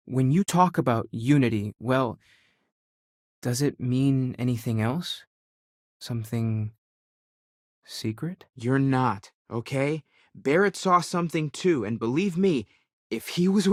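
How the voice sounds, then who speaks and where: A man's voice: on the high side, darkish tone, no echo, clean studio sound. A man playing an earnest, bookish young scholar and explorer in a video game, speaking in a thoughtful, conversational way. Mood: intent, speculative. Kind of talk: video game character dialogue.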